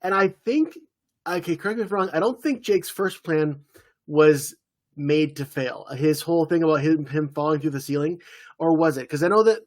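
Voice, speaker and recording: A man co-hosting a casual TV podcast, recapping the plot in a relaxed way with playful impressions. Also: a little high, normal speed. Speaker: podcast co-host. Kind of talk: casual chat about a TV episode.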